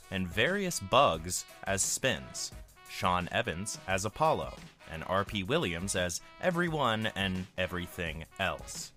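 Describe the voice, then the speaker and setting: A man's voice: sits low, pushed and bright. A man reading scripted end credits for his own audio show, with a friendly sign-off. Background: music.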